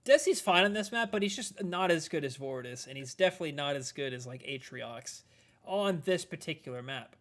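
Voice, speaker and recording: Hoarse chatty man streaming a game. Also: a little high, animated, a little fast, a bit bright. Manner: rambling, chatty, answering chat between plays. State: strained, losing his voice. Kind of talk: casual live-stream gameplay commentary.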